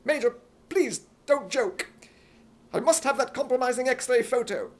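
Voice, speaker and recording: A man voicing an earnest, excitable young hero in an imitation British accent for radio comedy. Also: very high voice, unhurried.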